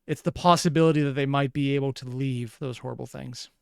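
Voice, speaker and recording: A woman's voice: very deep voice, fairly even intonation, fast talker, creaky, smooth, no room sound, clean studio sound. A woman reacting to a video as host, musing aloud with analytical asides and questions. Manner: musing, questioning, sighing between remarks.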